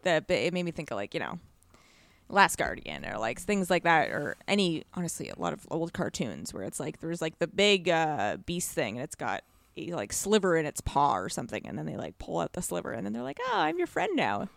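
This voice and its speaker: Animated woman with a creaky voice. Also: deep voice, fairly quick, dry recording. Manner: animated, rambling, frequent "like". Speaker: podcast guest discussing a video game. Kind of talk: casual chat.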